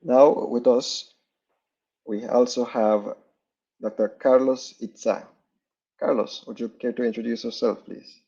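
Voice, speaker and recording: A man's voice: medium pitch, steady tone, unhurried, pauses often, warm tone, slightly rough. A man hosting an online training over a video call, formally making announcements and introducing the team. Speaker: training host. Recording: dull lo-fi video call audio.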